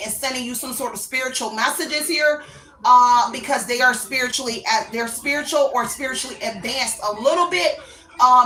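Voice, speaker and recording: Woman tarot reader, bright and sharp-toned, chatty and meandering, talks to viewers as "baby.". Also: on the high side, echoey room, rough recording. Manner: rambling and unsure, often adds "I don't know". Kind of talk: casual spoken tarot reading to viewers.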